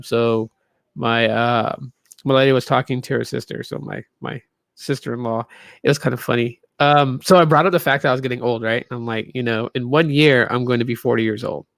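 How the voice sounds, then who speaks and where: Tired man rambling on a live stream, gravelly. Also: medium pitch, fairly quick, dry recording. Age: 30s. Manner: rambling, loose, lots of fillers. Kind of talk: casual live stream chat. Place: small room in a new home.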